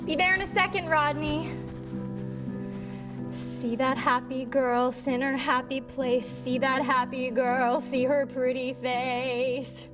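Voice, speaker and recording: Woman playing an earnest, very high-voiced teenage fish girl. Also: steady tone, slow pace, music in the background. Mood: bright. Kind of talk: theatrical musical stage acting.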